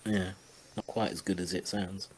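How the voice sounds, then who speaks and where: A man's voice: sits low, little pitch movement, normal speed, crowd noise. A man giving casual, sarcastic commentary in a panel chat, with hesitant phrasing. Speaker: commentator on discussion show.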